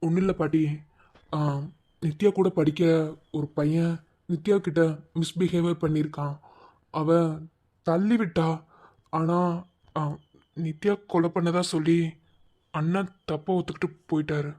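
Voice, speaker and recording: A man playing a practical, steadying young family member, speaking haltingly and reassuringly in a family drama. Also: a little high, steady tone, dark tone. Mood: uneasy explaining.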